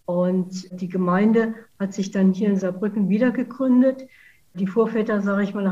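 Woman, mellow voice. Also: mid-range voice, lo-fi sound. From Saarland, Germany. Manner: measured, explanatory. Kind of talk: interview answers.

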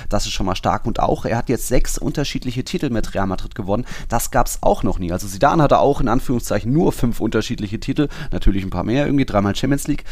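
A man with a slightly low voice talks very quickly in a casual sports discussion. He runs through his analysis in long, unbroken stretches, recorded dry and close. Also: lively intonation, a little mellow, no echo. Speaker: football podcast co-host.

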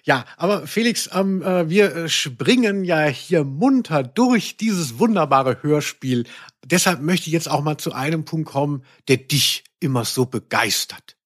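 A man's voice: a little high, expressive, measured pace. A middle-aged man hosting a casual podcast about radio plays, talking in a rambling, reflective, conversational way. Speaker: podcast host.

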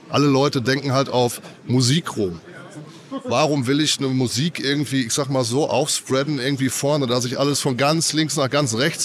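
Man with a cold explaining at a fair. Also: medium pitch. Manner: loose, filler-heavy explaining. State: has a cold. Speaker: audio company representative. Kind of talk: casual trade-fair interview. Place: trade fair hall.

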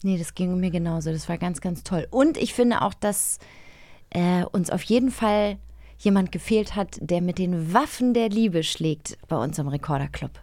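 A woman's voice: lowish voice, moderate pace, darkish tone, dry recording. A woman co-hosting a podcast in casual, colloquial conversation in a studio. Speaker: podcast co-host. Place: dry studio.

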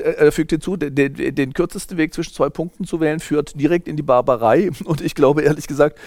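A man's voice: on the high side, a little mellow, dry recording, studio quality. A man in his fifties from Germany, a sociologist being interviewed, explaining at length in a lecturing way with frequent hesitations and restarts. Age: 50s. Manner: lecturing, many fillers and restarts. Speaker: sociologist guest. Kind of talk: thoughtful explanatory interview talk.

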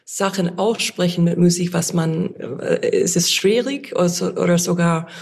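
A woman with an American accent, a guest on a podcast, speaking German as a second language slowly, searching for words with many fillers. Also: on the low side, gravelly, a little mellow, breathy edge. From United States. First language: English. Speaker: guest, pianist and psychologist. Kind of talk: podcast interview.

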